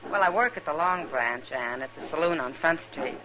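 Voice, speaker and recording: A woman's voice: low-pitched, animated, normal speed. An American woman acting a friendly, frank, reassuring frontier saloon worker in a 1950s radio western. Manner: direct, reassuring. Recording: noisy old radio recording.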